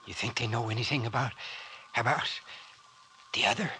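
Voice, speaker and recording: An actor with an American accent playing a stubborn, folksy old small-town mayor in an old-time radio mystery serial. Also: male voice, medium pitch, normal speed, sharp tone. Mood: reproachful, brooding. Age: older. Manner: folksy, crusty. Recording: faintly noisy old-time radio recording.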